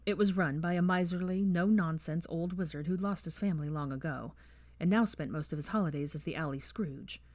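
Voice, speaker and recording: Woman, fairly low voice, audiobook narrator, even measured storytelling, dry close sound. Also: dry recording. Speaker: audiobook reader. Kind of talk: fan fiction audiobook narration.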